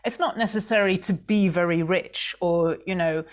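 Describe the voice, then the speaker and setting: A woman hosting a podcast, asking a guest curious, reflective questions in a relaxed conversation. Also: fairly low pitch, moderate pace. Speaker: podcast host.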